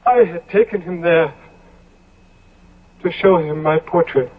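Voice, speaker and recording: Young gentleman, agitated, guilty, theatrical stammer, husky, dull lo-fi radio drama recording. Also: a little high, a little slow. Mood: agitated confessing. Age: young adult. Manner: stammering, theatrical, breathless pleading. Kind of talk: 1970s radio drama acting.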